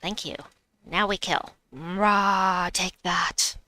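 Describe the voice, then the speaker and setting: A woman's voice: on the low side, fairly even intonation, drawn-out words, pushed and bright, no room sound. A woman with a slight New York accent, streaming a game and chatting with her viewers as she plays. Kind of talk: casual live-stream chat while gaming.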